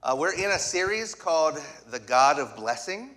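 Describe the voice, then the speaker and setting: Bright-voiced man preaching in church. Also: on the high side, very animated. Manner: speaks in short phrases, pausing for the interpreter. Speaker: guest preacher. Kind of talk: sermon in English with live interpretation. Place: church sanctuary.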